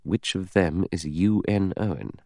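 A man's voice: low-pitched, big pitch swings, unhurried, vocal fry, darkish tone, no room sound, studio quality, exaggerated voice. A man playing a cool, cynical, self-interested adventurer in an audiobook, nonchalant and wry. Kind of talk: audiobook character dialogue.

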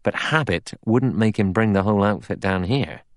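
A man's voice: lowish voice, lively intonation, normal speed, no echo, lightly acted. A male reader acts out a former police inspector in an audiobook. He is suspicious and plain-spoken, grumbling in blunt colloquial phrases, the sound close and dry. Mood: sceptical, muttering.

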